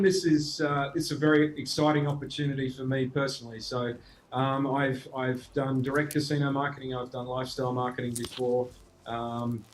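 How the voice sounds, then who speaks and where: A man's voice: medium pitch, slight background noise, rough recording. A middle-aged Australian man answering interview questions, hesitant with frequent restarts and fillers. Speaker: guest, marketing executive.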